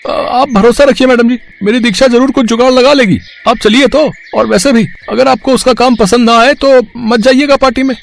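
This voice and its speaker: A man's voice: very high-pitched, steady tone, a little fast, vocal fry, hardly any pauses, faint music behind. A man playing a humble, helpful middle-aged auto-rickshaw driver in a cartoon story, speaking deferentially and reassuringly with some hesitation.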